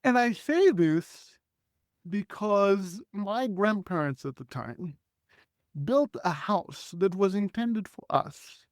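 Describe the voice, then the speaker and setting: High-voiced, smooth man in his forties. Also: lively intonation, slow, no echo, studio quality. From Israel. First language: Hebrew. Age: 40s. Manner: earnest, reflective, clears throat often. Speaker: guest. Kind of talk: conversational life storytelling.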